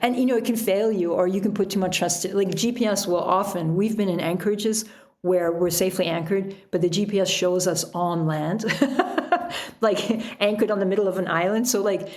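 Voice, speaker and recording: A woman talking casually as an interview guest, telling things in an animated storytelling way with illustrative asides. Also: mid-range voice, moderate pace. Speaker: podcast guest, author and sailor.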